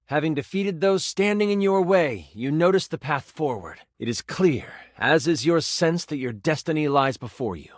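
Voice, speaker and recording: An actor playing an enthusiastic game master, a personified die who narrates tabletop fantasy with theatrical expression and savored suspense. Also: male voice, a little high, no room sound, clean studio sound. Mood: hushed, suspenseful.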